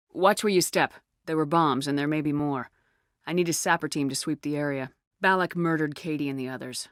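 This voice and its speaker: A woman's voice: low-pitched, no room sound, studio quality, natural voice. A woman playing a tough, resolute space navy commander in a video game, speaking in a firm, terse, commanding way. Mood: somber. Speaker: video game lead character. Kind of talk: video game dialogue acting.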